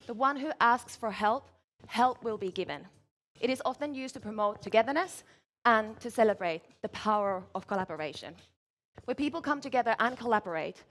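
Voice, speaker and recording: A woman speaks in a clear, measured way as she presents her drinks to judges. Her voice sits a little high for a woman. She talks through each step while she works. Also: fairly bright tone. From Finland. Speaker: barista competitor. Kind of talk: competition presentation. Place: large event hall, live competition.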